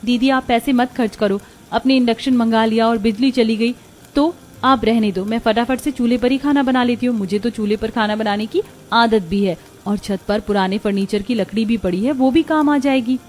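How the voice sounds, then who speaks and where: A woman's voice: a little high, moderate pace, a little mellow, natural voice. A woman voicing a humble, devoted young village daughter-in-law in a cartoon story, respectful, earnest and eager to please. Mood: earnest, dutiful. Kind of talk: Hindi moral cartoon story dialogue.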